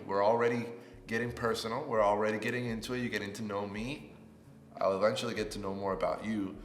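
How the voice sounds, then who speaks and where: Young man, native Spanish speaker, lowish voice, upbeat and chatty, roomy echo. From Puerto Rico. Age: 20s. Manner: upbeat and chatty, rising with excitement. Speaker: YouTuber. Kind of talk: casual vlog self-introduction. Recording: echoey room with long reverb.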